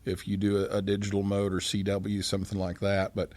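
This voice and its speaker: A man's voice: fairly low pitch, monotone, brisk, darkish tone, no room sound. Casual male show host, close dry sound. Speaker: radio show host, science teacher. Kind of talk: casual call-in show hosting.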